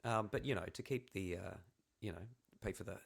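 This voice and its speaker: A man co-hosting a podcast in casual chat, hoarse from losing his voice, wry and blunt. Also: fairly low pitch, brisk. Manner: wry, blunt, self-deprecating. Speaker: podcast co-host.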